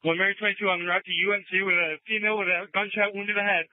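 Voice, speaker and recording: A man's voice: high voice, little pitch movement, very fast, dry recording. A male police officer making urgent emergency calls over police radio. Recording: tinny narrow-band scanner radio.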